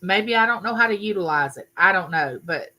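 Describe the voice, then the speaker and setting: Older woman, livestream host; loud, bright, sharp-edged voice, chatty and welcoming by name. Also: mid-range voice, expressive, brisk, rough recording. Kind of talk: casual live chat with viewers. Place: home room used for filming.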